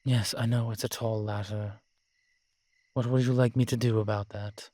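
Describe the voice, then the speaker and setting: A man acts out a sardonic, weary male vampire in an audio roleplay drama. His voice sits on the low side, with measured, formal phrasing and a wry edge. The sound is close and dry, with very little room on it. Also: flat delivery, warm tone, no echo, lightly acted. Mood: exasperated, dry.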